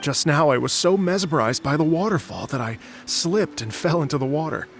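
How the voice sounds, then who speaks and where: An actor playing a polite, dreamy travelling musician in a video game, courteous, hesitant and slightly flustered. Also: male voice, fairly high pitch, expressive, moderate pace, creaky, soft background music. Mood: sheepish.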